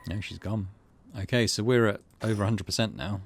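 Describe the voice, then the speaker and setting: A man's voice: lowish voice, brisk, creaky, slight background noise. A middle-aged man giving casual let's play commentary, easygoing and thinking aloud as he goes. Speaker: gaming channel host.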